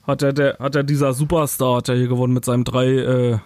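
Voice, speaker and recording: A man with a Saxon accent, co-hosting a podcast in casual, joking chat and often pausing with fillers. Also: medium pitch, little pitch movement, a little mellow, no room sound. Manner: joking, halting with many fillers. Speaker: podcast co-host.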